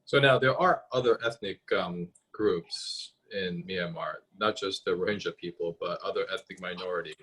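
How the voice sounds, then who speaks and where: A man's voice: low-pitched, moderate pace, hardly any pauses, a bit bright, breathy edge, rough recording. A man moderating a panel discussion, musing aloud and hedging with many fillers as he asks questions.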